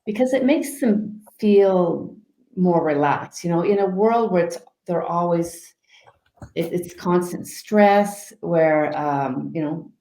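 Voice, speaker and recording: Soft-spoken female coach, calm and unhurried, lower mellow tone, echoey room. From Canada. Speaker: guest life coach. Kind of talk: interview answers.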